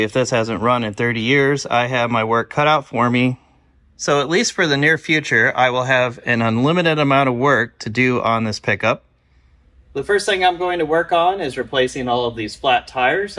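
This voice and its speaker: A man in his thirties hosting a car restoration video, calmly and methodically explaining his work in a large indoor garage. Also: medium pitch. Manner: calm, methodical, matter-of-fact. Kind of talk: explanatory workshop walkthrough.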